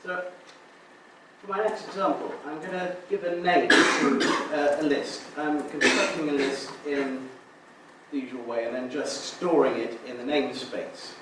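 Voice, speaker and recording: Man, fairly high voice, conference talk, very echoey hall, distant mic, faint hiss. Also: fairly even intonation, unhurried. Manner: many restarts and filler sounds, explanatory. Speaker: conference speaker. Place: lecture room.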